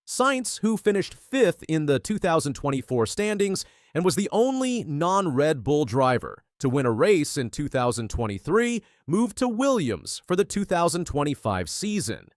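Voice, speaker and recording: A man delivers scripted news narration. His voice sits a little high and moves through a very wide range of pitch. The sound is close and dry, with no room on it. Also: sing-song, normal speed, no room sound.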